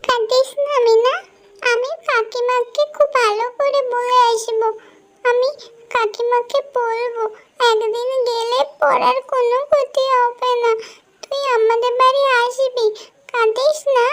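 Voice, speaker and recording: A woman's voice: extremely high pitch, little pitch movement, a little slow, a bit bright, pitched above the performer's own voice, exaggerated voice. A woman voicing an eager, caring little village girl in a cartoon, with an earnest, coaxing manner. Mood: reassuring.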